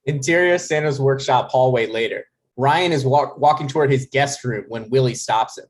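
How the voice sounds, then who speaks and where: Mid-pitched man reading stage directions. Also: moderate pace. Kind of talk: scripted narration.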